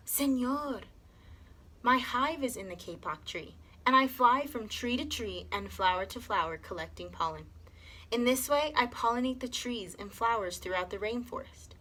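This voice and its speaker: A woman's voice: a little high, animated, moderate pace, bright, forceful tone, pitched above the performer's own voice. A woman voicing a busy little storybook bee, explaining things earnestly. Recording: clear background noise.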